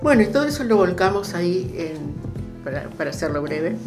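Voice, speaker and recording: Older woman, Argentine accent, lowish register, halting reminiscence, interview over clear guitar backing. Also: sing-song. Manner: halting, searching for words. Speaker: interviewee, popular radio producer.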